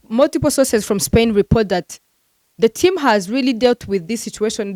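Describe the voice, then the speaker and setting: A woman's voice: medium pitch, darkish tone, no echo. A woman hosting a football transfer news vlog, talking casually and animatedly to her viewers with lots of fillers, venting like a frustrated fan.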